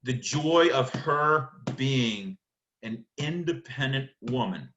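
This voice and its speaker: Male literature lecturer. Sharp, bright delivery, emphatic and theatrical, asks and answers his own questions. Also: mid-range voice, expressive, takes it slowly, dull audio, a little hiss or hum. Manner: emphatic, dramatic, rhetorical questions answered by himself.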